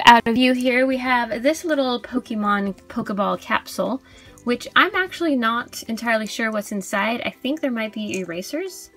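A woman's voice: mid-range voice, fairly bright tone. A young adult woman casually hosting a toy unboxing, chatting in a chirpy, gushing way about cute things. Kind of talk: casual unboxing review chat. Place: indoors at a tabletop display. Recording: background music under voice. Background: music.

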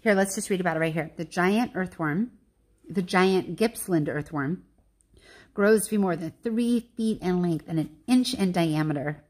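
A woman's voice: fairly low pitch, moderate pace, a little mellow. A woman reading a children's picture book aloud like a teacher, explaining things with informal asides and questions to her listeners.

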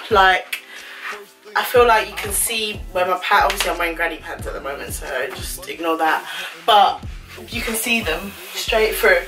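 A woman's voice: mid-range voice, pushed and bright, distant mic, poor audio. A woman, chatting casually and gushing through a clothing try-on haul, with slangy asides. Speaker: fashion vlogger. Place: small bedroom indoors. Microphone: camera on a stand a few feet away.

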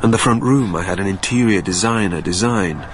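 A man's voice: low voice, brisk, slight background noise, lightly acted. An actor playing a reflective businessman who narrates his own tale in a scripted radio drama. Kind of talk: scripted first-person narration.

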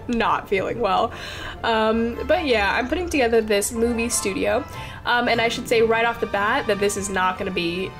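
A young woman with a stuffy, congested voice from a cold, chatting casually and rambling as she narrates her game build, with lots of fillers and little laughs. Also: medium pitch. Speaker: Sims speed-build creator.

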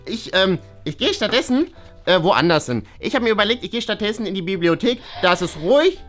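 Woman playing stammering nerdy schoolboy with animated pitch. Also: a little fast, faint music behind. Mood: hurt, flustered. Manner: stammering, hesitant, pedantic. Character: nerdy, awkward schoolboy, a rule-abiding loner. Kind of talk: children's play-acted school story.

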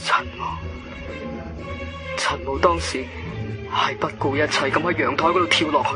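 An actor playing a frightened, despairing young man trapped and near panic, halting and repeating himself in a Cantonese radio drama. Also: fairly high pitch, fairly even intonation, music in the background, close to an everyday voice. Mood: despairing, subdued.

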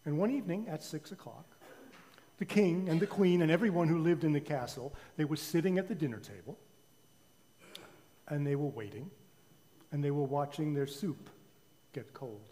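A man telling a children's story live in a theatrical way, with deliberate pauses. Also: a little high, dark tone. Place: large church sanctuary. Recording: reverberant church hall.

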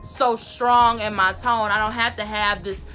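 A woman, giving sassy, emphatic advice to camera in her bedroom, repeating her points, with a loud voice that carries. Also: medium pitch, steady tone, measured pace, soft background music, rough recording. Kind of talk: casual advice talk to camera. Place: bedroom, indoors.

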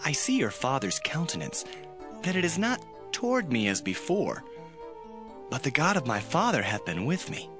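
An actor playing Jacob as an earnest, weary shepherd who pleads solemnly in archaic language in a dramatized Bible. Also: male voice, mid-range voice, sing-song, normal speed, music in the background, lightly acted.

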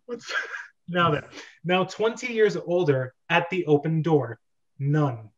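A man's voice: fairly high pitch, takes it slowly. Man reading stage directions, dull sound. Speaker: host and narrator of a script read-through. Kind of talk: reading screenplay directions amid casual chat. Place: bedroom at home.

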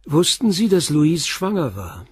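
An actor playing a calm police inspector who questions a witness with short, pointed questions in a radio crime play. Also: male voice, on the high side, a little slow, breathy edge, no echo, studio quality, heavily acted. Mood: probing.

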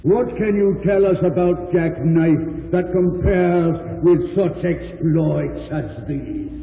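An old radio recording, narrow and reverberant, carries a lordly spoon who presides over knights. He is formal and fair-minded, with a high voice and a slow, ceremonial delivery. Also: vocal fry. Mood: grave, fair. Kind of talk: 1940s radio acting.

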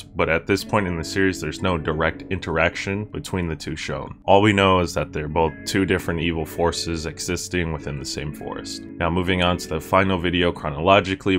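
Young male video essayist, low register, casual scripted read with a joking, irreverent edge. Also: a bit bright. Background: music with guitar under the voice.

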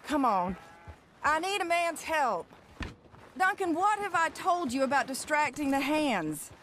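Brusque American ranch wife. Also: female voice, high-pitched, sing-song, a little slow, a bit bright, a little hiss or hum, exaggerated voice. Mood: exasperated, demanding. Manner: blunt, bossy, dry. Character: brusque, no-nonsense ranch wife and mother, sardonic. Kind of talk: naturalistic video game cutscene acting.

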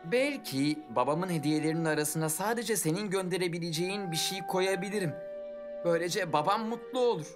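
An eager schoolboy adventurer played by a voice actor in a children's cartoon dub. The voice is confident and youthful, with mid pitch. Loud music plays behind it. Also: brisk, natural voice. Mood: confident, curious. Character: plucky adventurous schoolboy hero. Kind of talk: dubbed children's animated adventure.

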